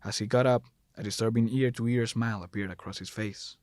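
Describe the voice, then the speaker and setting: A man's voice: medium pitch, steady tone, brisk, darkish tone, no echo. A man narrating a scripted horror story as the narrator, recorded close to the mic.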